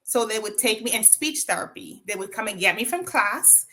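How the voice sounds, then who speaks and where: Interview guest: Jamaican-accented woman, bright voice, reflective storytelling full of ums and "right?". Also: medium pitch, expressive, moderate pace. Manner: reflective, with frequent ums and tag questions of "right?".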